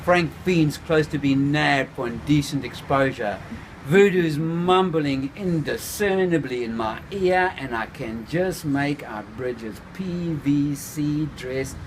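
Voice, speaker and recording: A man reading his own first-person novel aloud, laid-back and unhurried, with small slips. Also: fairly high pitch, background noise.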